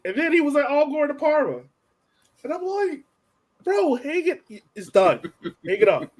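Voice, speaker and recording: A man hosting a podcast in a casual sports chat, informal and slangy, telling things in an animated way. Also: extremely high pitch, normal speed. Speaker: podcast host.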